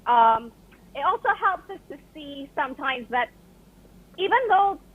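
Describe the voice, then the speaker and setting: Barbadian woman over a phone line. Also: high-pitched. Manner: laughs often, relaxed and chatty. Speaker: guest author. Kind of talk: live call-in interview. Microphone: telephone.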